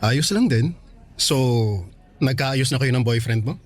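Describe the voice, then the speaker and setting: A man's voice: medium pitch, unhurried, close to an everyday voice. A man with a Filipino accent playing a considerate, remorseful young suitor in a radio drama, polite and a little hesitant. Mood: friendly, tentative. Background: faint music.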